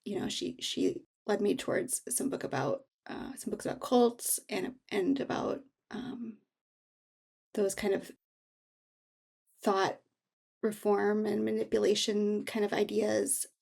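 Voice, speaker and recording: Thoughtful woman with a creaky voice. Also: medium pitch, steady tone, darkish tone. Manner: halting, thoughtful, searching for words. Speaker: author, guest. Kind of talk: reflective personal interview.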